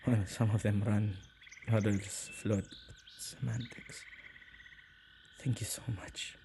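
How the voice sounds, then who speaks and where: A man playing an earnest, tender male witch who protects ghosts, speaking softly and intimately in an ASMR roleplay. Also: fairly low pitch, flat delivery, slow pace, gravelly, noisy background. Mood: tender, calm. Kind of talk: ASMR boyfriend roleplay acting.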